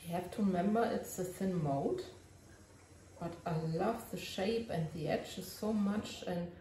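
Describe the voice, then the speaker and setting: A German woman with a German accent, narrating her resin crafting casually and often pausing to search for English words. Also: on the low side, dark tone, reverberant, recorded from a distance, a little hiss or hum.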